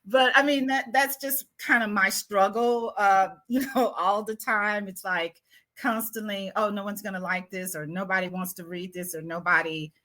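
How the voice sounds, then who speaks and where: A woman's voice: medium pitch, fairly even intonation, moderate pace, fairly bright tone. A woman writer answering interview questions, reflective and a bit self-doubting, with frequent fillers and light laughs. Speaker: award-winning writer, guest.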